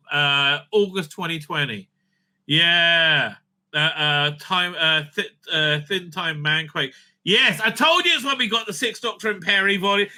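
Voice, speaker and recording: Guy doing a sweary fan review rant, kinda high really sharp voice, blunt and fed up, says right? a lot. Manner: often tags phrases with a questioning "right?". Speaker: host of a fan review show. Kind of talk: casual opinionated review rant.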